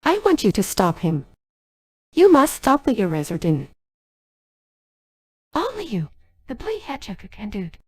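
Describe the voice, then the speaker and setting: A woman's voice: medium pitch, huge pitch swings, measured pace, long pauses, a little mellow, husky, exaggerated voice. A synthetic female voice playing an earnest, formal young genie of a magic ring in a dubbed game cutscene. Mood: grave, pleading. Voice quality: synthetic text-to-speech voice. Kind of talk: dubbed game cutscene dialogue by text-to-speech.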